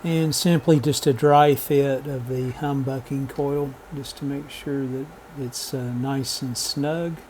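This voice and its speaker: A man narrating a vintage radio repair as a relaxed how-to voice-over, matter-of-fact and unhurried, with frequent "uh" pauses. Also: medium pitch, slight background noise. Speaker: radio restoration hobbyist.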